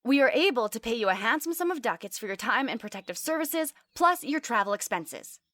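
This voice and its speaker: A woman's voice: a little high, lively intonation, fairly quick, a bit bright, dry recording. A woman playing a polite, chatty elven sorceress and scholar in a fantasy audio drama. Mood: eager, polite. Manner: chatty, courteous, formal wording.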